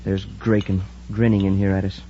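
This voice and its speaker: An actor playing an eager, excitable young space cadet sidekick, in a 1950s radio serial style. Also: male voice, low voice, normal speed, close to an everyday voice. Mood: anxious, urgent. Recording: muffled, noisy old-time radio recording.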